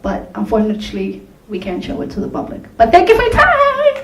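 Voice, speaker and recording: A woman pitching her company to judges in an upbeat way, with laughing asides. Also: wildly swooping pitch, fairly quick, a crowd in the background. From Tunisia, raised in Sweden. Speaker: contestant presenting her company. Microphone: distant from the recording mic. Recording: echoey hall recorded from far off.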